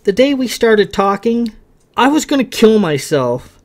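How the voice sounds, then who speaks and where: A man's voice: high voice, very animated, measured pace, pitched above the performer's own voice. A man voicing an earnest, stumbling young suitor. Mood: pleading. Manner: urgent, pleading, stumbling apologies.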